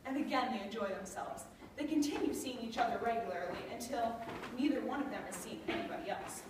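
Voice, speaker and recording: A woman's voice: a little high, fast. A young woman giving scripted comic narration on stage. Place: school chapel stage before an audience. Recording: very echoey hall, distant mic, faint noise.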